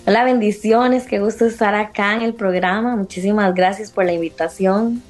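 A woman's voice: mid-range voice, steady tone, normal speed, faint music behind. A Costa Rican woman in her late thirties giving a fervent faith testimony as an interview guest, using many fillers. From Costa Rica. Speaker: guest, singer.

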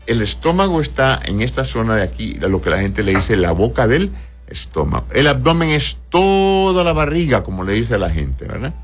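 A man's voice: mid-range voice, very animated, moderate pace, faint music behind. A male doctor answering listeners' health questions in a patient, explanatory way, often checking "right?" and calling patients "my love".

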